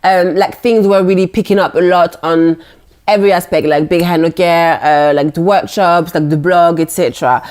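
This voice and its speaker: A young woman with a French accent, a blogger being interviewed, chatting casually and telling animated stories with mock voices for the people in them. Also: on the low side, normal speed. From France. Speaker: guest, blogger.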